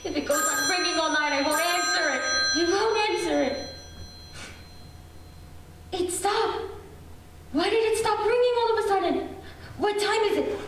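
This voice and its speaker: Woman acting a shrill, frantic invalid wife in echoey hall. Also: normal speed, pauses often. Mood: panicky. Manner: demanding, agitated, repetitive, indignant. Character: nervous, bedridden invalid wife, imperious, panicky and shrill. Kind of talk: old-time radio suspense play acting on stage. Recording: very echoey hall, distant mic, faint noise.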